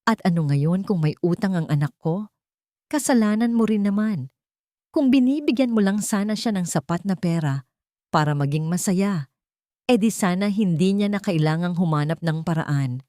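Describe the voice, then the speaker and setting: A woman's voice: lowish voice, expressive, normal speed, dark tone, dry recording, studio quality, close to an everyday voice. A woman storyteller voicing a haughty, spiteful mother-in-law who defends her son with scornful blame. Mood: defiant, blaming. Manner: scornful, blaming.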